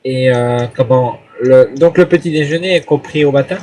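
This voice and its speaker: A man's voice: mid-range voice, moderate pace, warm tone. A man making an improvised phone call as a hotel guest, speaking in a hesitant, rambling way. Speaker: prank caller posing as a hotel guest. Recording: noisy phone call with clicks.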